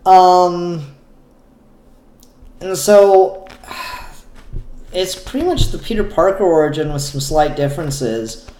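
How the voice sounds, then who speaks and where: A man's voice: high-pitched, expressive, slow, long pauses, mellow, roomy sound. A man giving casual, opinionated review commentary on comic books in a rambling, enthusiastic way.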